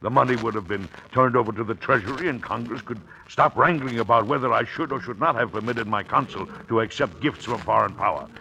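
Bright-voiced, dignified American president. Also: male voice, mid-range voice, gravelly, lo-fi sound. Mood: exasperated. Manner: formal, measured, statesmanlike. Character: dignified, exasperated American president and father, middle-aged. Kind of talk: old-time radio drama acting. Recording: old radio recording, faint hiss.

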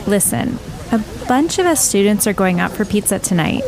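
A woman's voice: medium pitch, very animated, normal speed. Actress as a breezy college girl amid chatter. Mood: feigned friendliness. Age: young adult. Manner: casual, breezy. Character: breezy, mocking young female college student. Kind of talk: audio drama dialogue. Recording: busy crowd chatter behind. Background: crowd in a courtyard.

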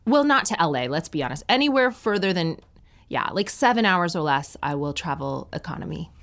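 A woman's voice: sits low, big pitch swings, fairly quick, fairly bright tone. A woman in her thirties from Canada, a touring comedian chatting as a guest with dry, self-mocking humor. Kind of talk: casual chat.

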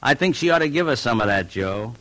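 An actor playing a scheming, manipulative small-time crook in a vintage radio drama, talking in a casual, coaxing, controlled manner. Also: male voice, mid-range voice, animated, fairly quick, fairly bright tone, no room sound, natural voice, poor audio. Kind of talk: 1950s radio drama acting.

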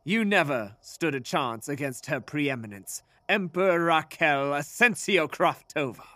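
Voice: mid-range voice, expressive, measured pace, pushed and bright, a little hiss or hum. A pompous, theatrical fantasy sorcerer. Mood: smug. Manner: pompous, theatrical, haughty with flustered hesitations. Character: pompous, cocky, theatrical magical henchman sorcerer serving an empress. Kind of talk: fantasy audio drama acting.

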